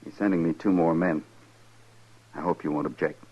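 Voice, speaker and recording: An actor playing a dutiful, courteous cavalry lieutenant, formal and military, in a 1950s radio western. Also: male voice, deep voice, fairly even intonation, normal speed, slightly rough. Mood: polite. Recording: dull lo-fi old radio broadcast.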